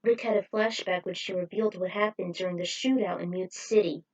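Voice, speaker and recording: A woman's voice: medium pitch, fairly even intonation, brisk, a little mellow, highs cut off. A woman narrating a scripted story, reading it aloud as a storyteller.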